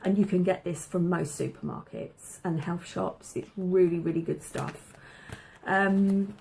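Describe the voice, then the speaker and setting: A woman with a low, gravelly voice chats casually to camera at home. Her throat sounds a little dry from a slight cough, and she fills her pauses with ums and doubles up her words for emphasis. A faint hum of the room sits behind her. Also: fairly even intonation, dark tone, rough recording. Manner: chatty, many ums, repeats words for emphasis. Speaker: vlogger showing a food haul.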